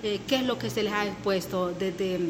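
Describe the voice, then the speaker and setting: A woman's voice: medium pitch, normal speed, noisy background. A woman field reporter asking formal questions, addressing her guest by title. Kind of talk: news interview. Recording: loud outdoor ambience.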